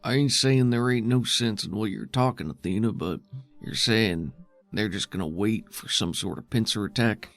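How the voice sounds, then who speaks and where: Tabletop RPG role: gruff, folksy settlement man, acted by a male game master, gravelly, weary and stubbornly skeptical. Music underneath. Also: on the low side, normal speed, a bit bright, no room sound. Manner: folksy drawl-like grammar, plainspoken and skeptical.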